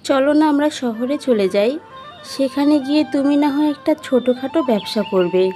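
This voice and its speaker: A woman's voice: high voice, brisk, dark tone, faint music behind, lightly acted. A woman voicing an anxious, practical village wife and mother in a cartoon, persuading and coaxing as she talks. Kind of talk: cartoon story dubbing.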